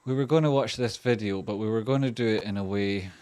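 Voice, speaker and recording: A Scottish man with a fairly low voice chats casually on a livestream, wandering from thought to thought with fillers, restarts and plenty of swearing. The sound is close and dry, with no room echo. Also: fast, darkish tone, clean tone. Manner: rambling and chatty, many fillers and restarts, swears freely. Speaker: livestream host. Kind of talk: casual livestream chat reading donations.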